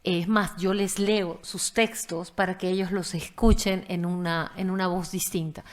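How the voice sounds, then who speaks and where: A woman's voice: fairly low pitch, steady tone, measured pace. A middle-aged woman from Venezuela with a mixed, unplaceable accent, talking thoughtfully about her writing on a panel, with many fillers and restarts. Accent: none fixed, mixed Venezuelan and Peruvian. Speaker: guest writer.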